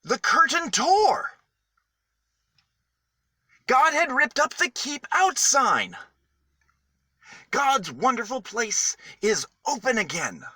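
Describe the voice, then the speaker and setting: A man reading a children's story aloud in a dramatic, deliberate manner with emphatic pauses. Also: very high-pitched, sing-song, sharp tone, slightly rough, no room sound.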